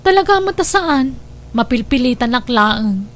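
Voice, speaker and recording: A woman's voice: sits high, expressive, moderate pace. A woman with an Ilocano accent playing a lonely, resentful young wife in an audio drama, plaintive and evasive. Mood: wistful.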